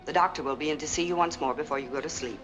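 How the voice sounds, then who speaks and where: An actress playing an efficient, chatty, courteous nurse who soothes patients, in 1940s film style. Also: female voice, deep voice, very fast, over music, natural voice. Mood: soothing, courteous. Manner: polite, efficient. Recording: faintly noisy 1940s film soundtrack.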